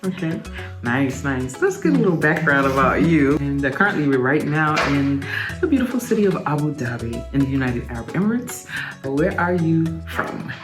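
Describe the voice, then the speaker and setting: A woman's voice: deep voice, sing-song, moderate pace, over music. A woman hosting a travel vlog, narrating and interviewing in an effusive, enthusiastic way. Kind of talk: voice-over narration and casual interview.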